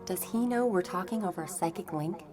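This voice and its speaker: An actress playing a young telepathic woman in a motion comic, tender, wry and fatalistic, over vehicle rumble. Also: a little fast, over music, lightly acted. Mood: wry, uneasy. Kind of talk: dramatic motion comic voice acting.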